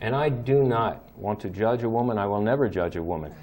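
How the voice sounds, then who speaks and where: A man's voice: on the low side, lively intonation, a little fast, a little mellow. A man hosting a local talk show, easygoing and encouraging with short replies. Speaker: host.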